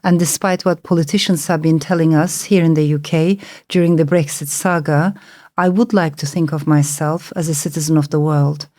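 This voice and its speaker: A woman's voice: sits low, fairly even intonation, normal speed, dark tone. A middle-aged woman novelist from Turkey, a native Turkish speaker, answering interview questions in a thoughtful, searching way with fillers and restarts. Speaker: novelist guest.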